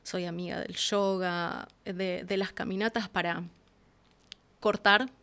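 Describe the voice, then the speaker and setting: An Argentine woman talks casually as a guest in an interview. Her delivery is expressive and reflective, and she slips into short acted-out quotes to illustrate what she means. Her voice sits in a middle register. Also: mid-range voice, slow pace. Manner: expressive, acts out little quotes as she explains.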